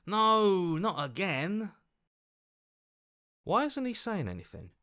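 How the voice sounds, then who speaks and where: Earnest, anxious game hero, swooping pitch. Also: male voice, wildly swooping pitch, slow pace, pushed and bright, clear, resonant tone, exaggerated voice. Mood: anxious, bewildered. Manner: earnest, questioning, protesting. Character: earnest, anxious heroic inner voice, male. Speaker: game voice actor. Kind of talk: dramatic game voice acting.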